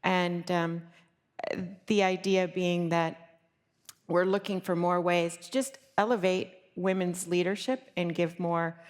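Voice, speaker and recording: A woman panelist speaking in a large conference hall, and her voice carries a long reverb. She sounds fairly low-pitched and chatty, leaning on fillers as she rattles through lists. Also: steady tone. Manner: chatty, many fillers, lists things quickly. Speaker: panelist, business executive. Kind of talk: panel discussion.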